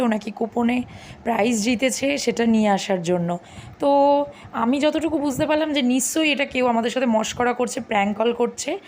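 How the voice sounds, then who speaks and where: A young woman with a West Bengal accent talks to her vlog viewers in a slightly high voice. She is chatty and casual, dropping in English words. There is faint noise behind her. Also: darkish tone. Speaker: vlogger.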